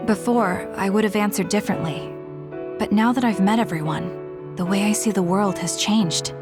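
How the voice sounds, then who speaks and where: A woman's voice: mid-range voice, normal speed, loud music behind the voice, natural voice. An actress playing a reserved, blunt and pensive young female fighter in a video game, with guarded, matter-of-fact delivery. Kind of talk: English video game voice acting, dramatic dialogue.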